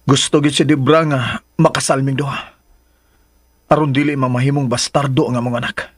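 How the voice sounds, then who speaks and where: A man's voice: mid-range voice, little pitch movement, brisk, slightly rough, no room sound, clean studio sound, natural voice. An actor playing a troubled young man in a radio drama, brooding aloud over his dilemma. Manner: brooding soliloquy.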